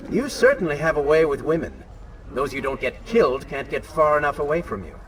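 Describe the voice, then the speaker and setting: An actor playing the sardonic, ruthless dark alter ego of a warrior prince in a video game, with a mocking, sarcastic manner. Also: male voice, on the high side, big pitch swings, fairly quick, a little hiss or hum, rough recording. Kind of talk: video game cutscene acting.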